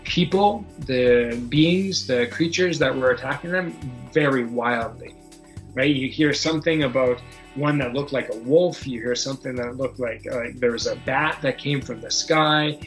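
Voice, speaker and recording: Man, slightly low-pitched, halting game master, searching for words, tabletop narration, music underneath. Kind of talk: running a tabletop superhero game, improvised narration and rules explanation.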